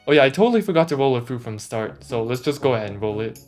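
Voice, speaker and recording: A man's voice run through a voice changer over clearly audible background music. He gives casual gameplay commentary as a YouTuber, talking in slang and grumbling mildly, at a medium pitch. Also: animated, quick pace. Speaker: gaming YouTuber. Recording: music mixed under the voice.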